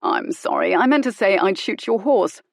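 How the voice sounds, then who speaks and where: A woman voicing a gentleman hero who threatens with glacial politeness. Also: mid-range voice, very animated, normal speed, creaky, dry recording, studio quality. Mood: icy menace. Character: cold, menacing young gentleman hero, icily polite.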